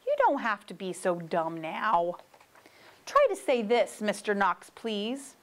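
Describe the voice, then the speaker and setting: A woman's voice: medium pitch, big pitch swings, takes it slowly. A woman voicing a playful, insistent male storybook fox who coaxes with tongue-twisting patter.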